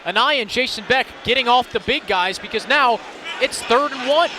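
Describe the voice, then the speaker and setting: A man's voice: extremely high pitch, moderate pace, sharp tone, slight background noise. A young man giving live radio football analysis, explaining and recapping plays in descriptive phrases. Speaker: color analyst.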